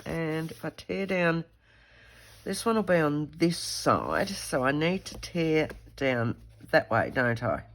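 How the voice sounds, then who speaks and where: A woman's voice: sits low, animated, takes it slowly. A woman with a stuffy head cold, chatting casually while she works through a craft project. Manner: chatty, self-talking while working. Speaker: craft video host. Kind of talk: casual craft tutorial chat.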